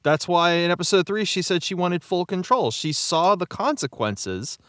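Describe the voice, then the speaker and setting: A man's voice: sits high, sing-song, normal speed, a bit bright, no room sound, clean studio sound. A man in casual panel chat as a cast member, insistently putting forward his theories. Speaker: podcast panel member, cast of an audio drama.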